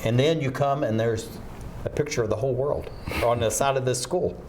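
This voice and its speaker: A man's voice: medium pitch, fairly quick, a little mellow, slight background noise. A man hosting a TV talk show, interviewing a guest with enthusiasm and frequent fillers.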